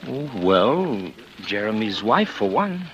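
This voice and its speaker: A man's voice: fairly low pitch, big pitch swings, slow pace, slight background noise, full character voice. An actor playing a guarded, well-off bachelor wooing a woman in a 1940s radio drama, casual and evasive. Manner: casual, evasive, courting endearments. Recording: dull vintage 1940s radio recording.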